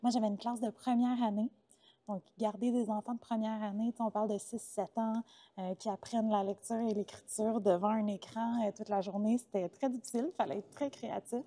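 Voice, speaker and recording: A woman's voice: mid-range voice, fairly even intonation, fairly quick, mellow. A woman in her thirties with a Québécois accent, chatting as an interview guest, talkative with many filler sounds. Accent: Québécois French. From Quebec, Canada. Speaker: interview guest, former teacher turned entrepreneur. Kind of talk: casual interview conversation.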